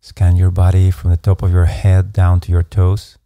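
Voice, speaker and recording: Very dark, low-toned male meditation guide. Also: no room sound, studio quality. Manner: slow, calm, measured instruction with soft pacing.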